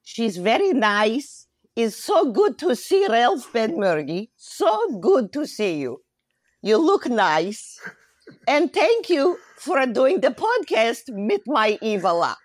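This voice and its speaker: Sweet, high-lilting old Yiddish mother. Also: very animated, slow pace, no echo, studio quality, heavily acted. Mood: doting. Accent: Yiddish-Lithuanian.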